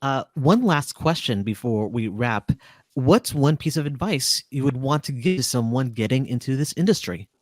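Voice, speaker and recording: A man's voice: mid-range voice, lively intonation, moderate pace, no echo, studio quality. A man moderating a casual roundtable, putting questions to guests in an informal, slightly hesitant way. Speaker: host.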